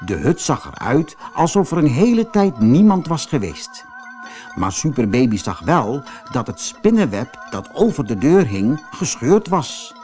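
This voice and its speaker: A man reading a children's audiobook story as narrator, in an expressive, dramatised way. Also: mid-range voice, a little slow, faint music behind.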